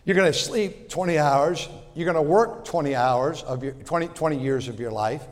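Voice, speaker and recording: A man in his sixties preaching a sermon in a folksy way, repeating words and swinging from loud to quiet. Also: fairly high pitch, moderate pace, long reverb tail. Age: 60s. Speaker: pastor.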